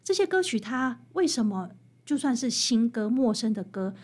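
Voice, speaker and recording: Female guest author, high voice, Taiwanese Mandarin accent, animated and emphatic conversational explainer. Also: moderate pace. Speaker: guest author, researcher of popular songs. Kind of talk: interview conversation, explanatory storytelling.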